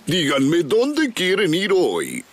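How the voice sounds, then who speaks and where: A gallant chuckling camel. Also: female voice, fairly low pitch, wildly swooping pitch, heavily acted. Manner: gallant, chuckling, slow and drawn-out. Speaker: voice actor. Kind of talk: Spanish-dubbed children's cartoon. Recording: music behind.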